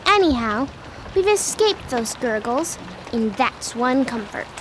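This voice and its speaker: A woman playing an eager, caring young girl adventurer in a radio play, earnest and expressive. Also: fairly high pitch, a little slow. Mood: relieved. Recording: clearly noisy background.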